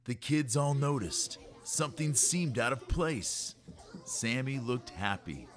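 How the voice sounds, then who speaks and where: Expressive, unhurried man reading to kids. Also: mid-range voice, soft background music. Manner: expressive, measured storytelling. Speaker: storyteller reading a children's book aloud. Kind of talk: rhyming scripted narration for kids.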